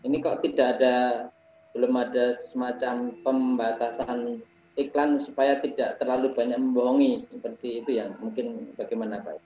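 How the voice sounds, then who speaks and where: A man asking a question in an online class, halting and restarting words, heard over a video call. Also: mid-range voice, fairly even intonation, dark tone. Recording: echoey, dull call audio with faint noise.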